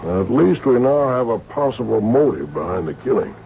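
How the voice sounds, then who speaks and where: An actor playing a brisk, probing police inspector with a gravelly voice in an old-time radio drama. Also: male voice, mid-range voice, very animated, slight background noise. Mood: keen. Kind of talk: old-time radio drama acting with first-person narration. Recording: dull old radio recording.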